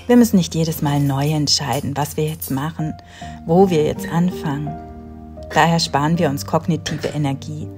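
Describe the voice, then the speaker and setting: A woman in her thirties calmly narrating a home cleaning routine in a voice-over, giving step-by-step tips straight to the viewer. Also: deep voice, moderate pace, over music. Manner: calm, instructive, talks directly to the viewer. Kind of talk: calm scripted voice-over narration.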